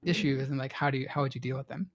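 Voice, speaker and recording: A man's voice: medium pitch, steady tone, rapid-fire, a little hiss or hum. A man hosting a podcast, asking long, loosely phrased questions full of fillers. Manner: rambling, filler-heavy, laughs often. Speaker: podcast host. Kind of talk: casual interview questions.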